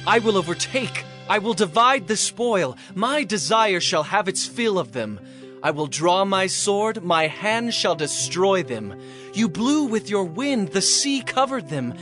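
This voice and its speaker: An actor playing a fervent worshipper, dramatically declaiming in a dramatized scripture recitation. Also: male voice, high-pitched, a bit bright, full character voice. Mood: menacing boast. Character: fervent worshipper recounting triumph with awe.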